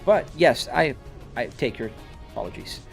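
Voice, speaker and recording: A man's voice: mid-range voice, lively intonation, normal speed, creaky, faint music behind. A young man from Brazil with a Brazilian accent mixed with American, chatting casually and answering viewer comments while he plays a driving game. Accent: Brazilian Portuguese mixed with American. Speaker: live streamer. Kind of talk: casual live-stream chat while gaming.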